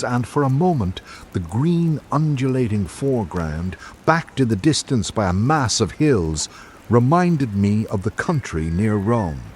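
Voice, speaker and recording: Low male narrator acting a travel writer, literary n reflective, very lively intonation, bit of rain noise. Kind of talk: scripted literary narration in radio drama.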